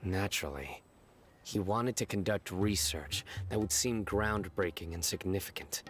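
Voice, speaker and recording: An actor playing a stern, grave young judge in a game, speaking tersely and measured. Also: male voice, low-pitched, fairly bright tone, a little hiss or hum. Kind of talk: game dialogue. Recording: game audio with music behind.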